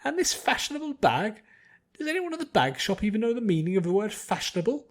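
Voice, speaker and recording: Man putting on a shrill, snooty young noblewoman. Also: sing-song, fast, full character voice. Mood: indignant, scornful. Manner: pretentious, haughty, demanding. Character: snooty, spoiled, nasty rich young noblewoman.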